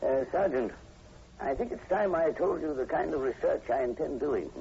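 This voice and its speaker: An inquisitive professor from an old radio play, with a dark, mellow tone and widely moving pitch. He speaks in measured, hesitant, learned phrases. The recording is muffled and noisy, like vintage radio. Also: lively intonation. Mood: grave, explanatory. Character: inquisitive, scholarly male professor investigating a strange killing. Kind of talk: old-time radio drama acting.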